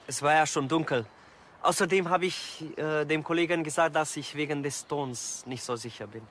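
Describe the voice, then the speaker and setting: An actor playing an unsure, defensive witness with a hesitant manner in a TV crime comedy. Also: male voice, on the high side, moderate pace, fairly bright tone, slight background noise, lightly acted.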